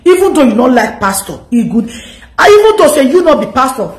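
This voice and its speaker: Nigerian female commentary host, 30s, animated and scolding, higher voice, echoey room. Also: a little fast. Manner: animated, scolding, mixes pidgin and English. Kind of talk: direct-to-camera commentary.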